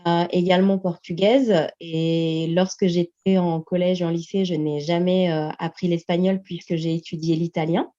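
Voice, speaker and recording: A woman's voice: on the low side, little pitch movement, normal speed, few pauses, mellow. A woman from France, a Spanish teacher, answering interview questions hesitantly and thoughtfully, with many fillers. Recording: dull lo-fi video-call sound.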